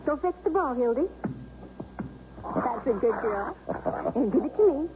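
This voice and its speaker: An actress playing a caring housewife in a 1970s radio drama, speaking gently and with some fussing. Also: female voice, high voice, expressive, unhurried. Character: caring young housewife, fond of dogs. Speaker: wife. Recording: tinny old radio broadcast with hiss.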